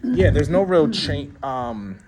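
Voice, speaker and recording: A man directing a rehearsal in a theater, giving script notes in a casual, halting way with many restarts. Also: a little high, big pitch swings, a crowd in the background. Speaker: director and playwright. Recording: noisy room.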